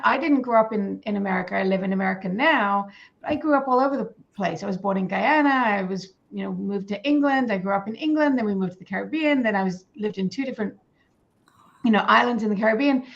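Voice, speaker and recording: A woman's voice: medium pitch, gravelly, highs cut off. A middle-aged woman author chatting casually on a panel, with lots of fillers and restarts.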